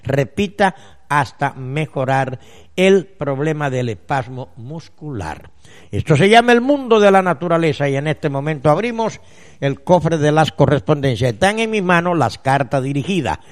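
A man hosting a radio advice show as a natural healer, addressing listeners directly and emphatically with deliberate pauses. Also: on the high side, animated. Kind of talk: radio advice show, reading listeners' letters and answering them.

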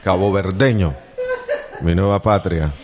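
A man with a Colombian accent talks into a radio studio microphone, his voice sitting low. He chats loosely with listeners and drops teasing, joking asides as he goes. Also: unhurried, crowd noise. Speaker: radio host. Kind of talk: casual morning radio chat.

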